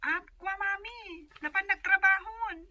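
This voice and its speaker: A woman's voice: extremely high pitch, measured pace, muffled recording. A woman voicing a young child in a radio drama, answering a parent in a hesitant, evasive, whiny way. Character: evasive young child covering for father on the phone. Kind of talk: Ilocano comedy radio drama acting.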